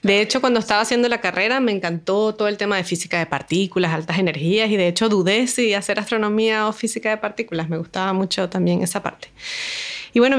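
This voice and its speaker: Venezuelan woman explaining patiently. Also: medium pitch. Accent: Venezuelan Spanish. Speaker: astronomer, guest. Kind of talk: explanatory interview talk.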